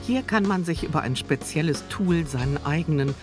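A woman's voice: deep voice, lively intonation, normal speed. A woman reading scripted narration for a television feature. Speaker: TV report narrator. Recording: music bed under the voice. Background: guitar music.

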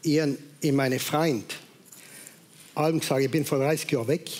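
A man's voice: fairly high pitch, steady tone, fairly quick, darkish tone. Middle-aged man with a South Tyrolean accent. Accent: South Tyrolean German. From South Tyrol, Italy. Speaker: talk show guest.

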